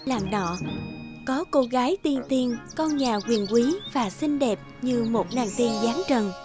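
A woman reading scripted folk-tale narration with measured storytelling delivery. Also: a little high, music in the background, lightly acted.

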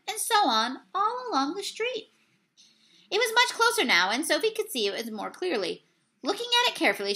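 Expressive young woman reading a storybook. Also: high-pitched. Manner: expressive storytelling, swells and hushes for suspense; apologizes for slips and losing her place. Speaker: teacher reading aloud. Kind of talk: children's book read-aloud. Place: small room at home, plain wall behind. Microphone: webcam at desk distance.

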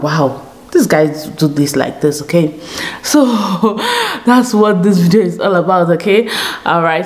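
A woman's voice: lowish voice, darkish tone. A Nigerian woman in her thirties chatting to camera in a small home studio, often checking in with "okay?". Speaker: YouTube vlogger. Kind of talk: casual chat to camera.

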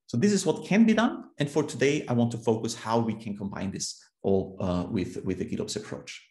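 A man's voice: mid-range voice, brisk, a little mellow, echoey room. A man in his thirties from Austria with an Austrian German accent, giving a technical conference talk over a video call, explaining steadily with fillers and restarts. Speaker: conference speaker.

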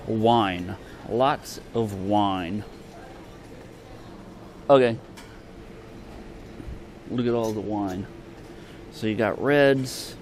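A man's voice: lowish voice, extremely slow, long pauses. A man giving a casual shopping tour as a vlogger, offhand and hesitant, trailing off in fragments, recorded on a handheld camera in a large supermarket. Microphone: handheld camera from behind it. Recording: clear store ambience noise.

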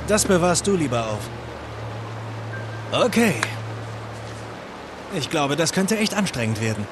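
A man's voice: fairly high pitch, big pitch swings, unhurried, music in the background. A man playing a wry, eager younger treasure hunter in a German video game dub. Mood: curious, teasing.

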